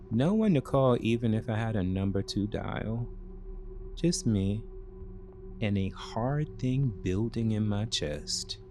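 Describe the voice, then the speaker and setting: Male storyteller, slow pace, expressive pitch, scripted dramatic narration, deliberate phrasing. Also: creaky. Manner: measured, short deliberate phrases.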